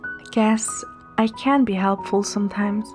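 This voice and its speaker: A woman playing a playful, caring young woman who narrates a romantic story in the first person, in a gentle, soft manner. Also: medium pitch, fairly even intonation, measured pace, over music, lightly acted. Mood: teasing. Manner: gentle, soft, sometimes whispering.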